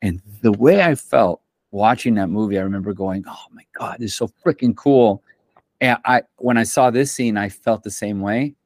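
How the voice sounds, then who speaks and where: Man, low voice, relaxed rambling talk, slangy, dry recording. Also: normal speed, dry recording. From Central America. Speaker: film and TV composer. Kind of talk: casual interview chat.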